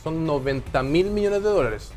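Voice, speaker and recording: A man's voice: fairly high pitch, animated, normal speed, darkish tone, a little hiss or hum. A man on a TV panel, arguing matter-of-factly with budget figures. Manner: matter-of-fact, argues with figures. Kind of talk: TV panel debate.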